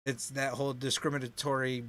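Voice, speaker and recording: A man hosting a relaxed chat about keyboard playing, chatty and full of "you know what I mean". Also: medium pitch, fairly quick. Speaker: host, keyboard player. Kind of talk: casual chat about music.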